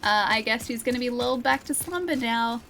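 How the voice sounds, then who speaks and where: A young woman from Australia chats and giggles through a live game stream, talking to her viewers as she plays. Her voice sits a little high. A faint hiss runs behind her. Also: expressive, brisk, a bit bright. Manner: chatty and giggly, talks to chat. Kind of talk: casual live-stream commentary.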